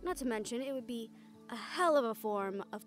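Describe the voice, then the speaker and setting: A woman's voice: on the high side, expressive, moderate pace, faint music behind, natural voice. A woman acting a caring, encouraging siren-like mentor in an intimate audio roleplay, with a tender, earnest manner. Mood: encouraging, wry.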